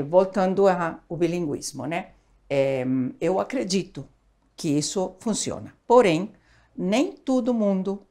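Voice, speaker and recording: An older woman from Argentina, a child education expert chatting animatedly as an interview guest, recorded on a lapel mic in a small studio. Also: fairly low pitch. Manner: animated, gestures a lot, tag questions. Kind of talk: casual interview chat. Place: small studio, seated on a sofa.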